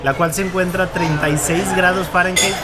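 A man's voice: high-pitched, steady tone, brisk. A man in his thirties with a Mexican accent, chatting casually to camera as a vlog co-host. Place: busy restaurant. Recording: loud music and restaurant crowd noise.

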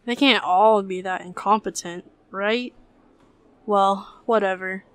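A woman voicing an apathetic fast-food cashier in a cartoon comic dub, weary and unimpressed. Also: mid-range voice, smooth, lightly acted. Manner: flat, hesitant, unimpressed.

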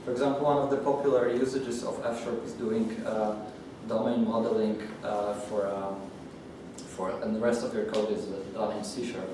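A young man from Poland giving a technical talk to a user group, halting and full of fillers and restarts. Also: fairly low pitch, fairly even intonation. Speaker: conference speaker. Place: meeting room with audience. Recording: echoey, distant room mic with noise.

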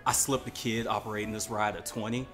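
An actor playing a wry male fairgoer in a scripted comedy sketch, with deadpan asides. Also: on the low side, fast talker, music in the background, lightly acted.

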